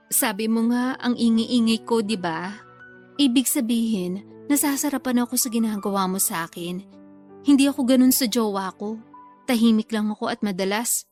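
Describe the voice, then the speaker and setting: A woman's voice: on the high side, a little mellow, natural voice. A woman acting a teasing, guilty lover in a secret affair, with a Filipino accent, in a radio love drama. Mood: candid, defensive.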